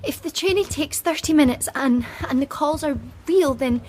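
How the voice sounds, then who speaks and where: A woman's voice: sits high, normal speed, a little mellow, lightly acted. An actress playing a painfully shy, anxious young unemployed woman in a radio play, hesitant and stammering. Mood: nervous protest. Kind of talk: naturalistic radio play acting. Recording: noisy background.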